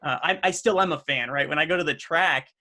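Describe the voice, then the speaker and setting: Young male guest, slightly high, bright tone, earnest and chatty. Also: quick pace. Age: young adult. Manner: earnest, upbeat, with frequent fillers and restarts. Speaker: race car driver guest. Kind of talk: casual podcast interview.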